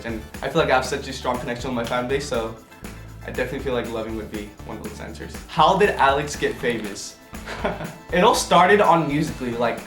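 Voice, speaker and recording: A man's voice: medium pitch, a bit bright, roomy sound. A young man in his late teens chatting casually and playfully, answering questions about himself to camera. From United States. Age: young adult. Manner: bubbly and playful, quick laughs and jokey asides. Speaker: social media influencer.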